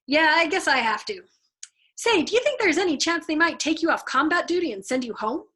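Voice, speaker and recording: A woman's voice: high-pitched, very animated, brisk, bright, forceful tone, pitched above the performer's own voice, heavily acted. A woman voicing an anxious, chatty airman who rambles on in an eager, needy way in a storybook reading. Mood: reluctant, fretful. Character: anxious, pleading airman, a reluctant family man.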